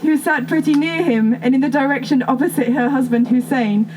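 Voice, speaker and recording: A woman's voice: sits high, brisk, a little mellow, a little hiss or hum, poor audio. A woman giving a performed reading of an old literary tale at a live performance. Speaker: performance artist reading a story. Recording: music over an outdoor live performance. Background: music.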